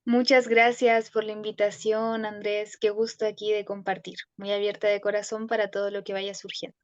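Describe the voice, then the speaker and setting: A young woman with a Chilean accent, thoughtfully telling her own story as a guest, with many pauses and restarts. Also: mid-range voice, little pitch movement, dry recording, dull audio. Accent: Chilean Spanish. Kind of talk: reflective personal storytelling.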